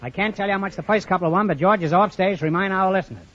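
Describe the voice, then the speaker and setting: A man's voice: high voice, rapid-fire, fairly bright tone. A middle-aged American man hosting an old-time radio quiz show with jovial, teasing banter. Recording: muffled, very noisy vintage radio recording with clicks.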